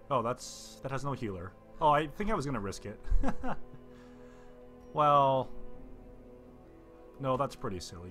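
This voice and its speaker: A man's voice: medium pitch, a bit bright. A man giving casual, chatty let's play commentary, thinking aloud and joking at himself. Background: game music.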